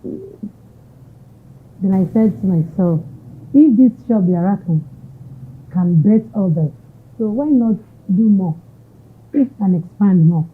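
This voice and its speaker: Woman, Nigerian accent, husky voice, code-switches into Yoruba, tinny narrow sound. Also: mid-range voice, expressive, slow, long pauses. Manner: mixes Yoruba phrases into English. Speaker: entrepreneur, interviewee. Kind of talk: conversational interview answers. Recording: tinny narrow-band audio.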